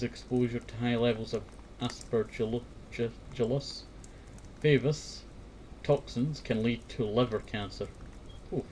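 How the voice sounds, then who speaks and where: A man's voice: lowish voice, slight background noise, rough recording. A man chatting casually in a meandering way, often repeating himself and sometimes reading bits aloud. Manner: meandering, repeats words and verbal tag phrases. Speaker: host of a fireside talk series. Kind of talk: rambling casual chat, some reading aloud.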